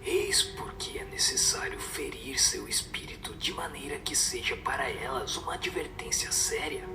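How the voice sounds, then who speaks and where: A man voicing a solemn spirit who whispers moral admonitions in a breathy, slow, radio drama delivery. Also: medium pitch, animated, reverberant, loud music behind the voice, lightly acted. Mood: solemn reproach.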